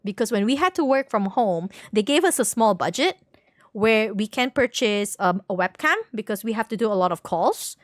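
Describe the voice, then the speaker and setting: A woman's voice: mid-range voice, animated, normal speed, no echo, clean studio sound. A woman livestreamer chatting casually with her viewers, rambling along, repeating words and searching for terms. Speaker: game livestreamer.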